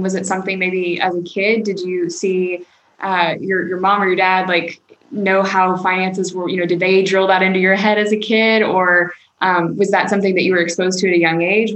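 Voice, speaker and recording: A woman's voice: fairly low pitch, rough recording. A woman interviewing a guest, asking long, encouraging follow-up questions. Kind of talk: podcast interview.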